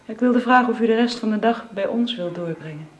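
A woman playing a tender young wife and mother in a naturalistic radio drama, speaking softly and hesitantly. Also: fairly high pitch, a little fast, muffled recording, lightly acted. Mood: hesitant, gentle.

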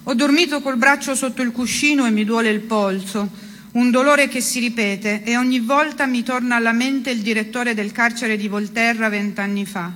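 A woman's voice: a little high, steady tone, moderate pace, a bit bright. A woman giving a dramatic literary reading of first-person prose. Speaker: reader of a literary text.

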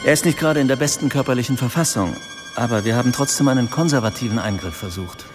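An actor playing a sober hospital surgeon in a radio drama, speaking in a formal, measured, clinical manner. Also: male voice, mid-range voice, music in the background, lightly acted.

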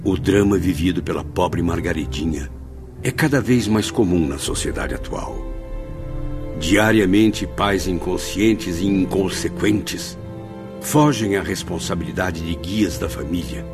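A man's voice: low voice, a little slow, music in the background. A man acting as a solemn, moralizing narrator in a scripted radio drama. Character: grave male spirit narrator recounting tragedy.

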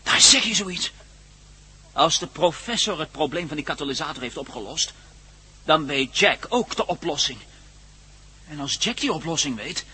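A down-to-earth, impatient cameraman in a radio play. His voice is fairly high and bright with lively movement, wry and colloquial, over faint recording noise. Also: normal speed, long pauses, breathy edge, heavily acted. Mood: pondering. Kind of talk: radio drama acting.

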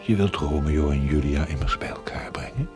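A man playing a stern, sardonic angel in a television drama, with a very deep voice and a solemn, dry, needling manner. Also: moderate pace, over music. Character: stern, sardonic male angel, a priest's conscience. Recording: faintly noisy 1970s television soundtrack.

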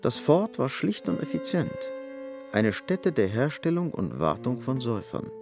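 A man's voice: medium pitch, sing-song, unhurried, gravelly. A man reading scripted narration for a radio drama. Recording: music under the voice. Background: music.

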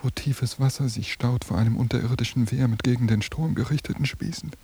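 A man's voice: mid-range voice, fairly quick, dark tone, breathy edge. An actor playing a fearful young man caught in a nightmarish vision, narrating his inner thoughts in a haunted, intense radio monologue. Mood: pleading, haunted. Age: young adult. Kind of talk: literary radio drama monologue.

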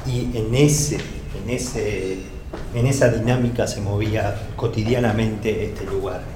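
A man with an Argentine accent speaks in a fairly low voice as a guide, explaining as he goes. The sound is very echoey, as in a stairwell, with background noise. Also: steady tone, slow pace. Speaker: guide at a memorial site. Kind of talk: explanatory guided tour, spontaneous speech. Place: indoors, large building stairwell while walking.

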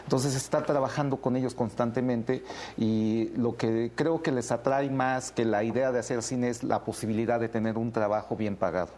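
A man's voice: medium pitch, steady tone, moderate pace, a little mellow. A man with a Mexican accent speaking as a film director in an interview, explaining and telling anecdotes in a casual way. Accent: Mexican Spanish. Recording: faint background noise.

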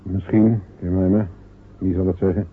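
A man's voice: low-pitched, level tone, normal speed, slight background noise, natural voice. A man playing stubborn proud fisherman John. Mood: determined. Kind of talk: radio play acting. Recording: narrow phone-like old radio recording.

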